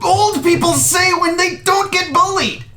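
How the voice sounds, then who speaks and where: A woman's voice: measured pace, sharp tone, a little hiss or hum, heavily acted. A woman voicing a frightened, tearful young schoolboy in a plaintive whine for a tabletop game. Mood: panicked, tearful. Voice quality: whiny, high-pitched. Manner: plaintive, crying. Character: scared, tearful young schoolboy, snotty and frantic. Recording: echoey room, group chatter behind.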